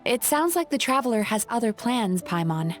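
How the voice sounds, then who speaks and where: A woman's voice: a little high, very animated, normal speed. A woman voicing a polite, composed young woman in a video game, courteous in manner, with music in the background. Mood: polite, apologetic.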